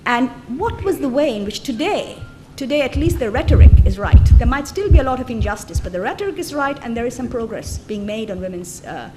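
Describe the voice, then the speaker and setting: A woman lecturer answers questions from the floor, explaining her points in a measured way. Her voice sits a little high. The sound is roomy, with clear background noise. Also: animated, over music. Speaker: guest lecturer. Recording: echoey hall with noise.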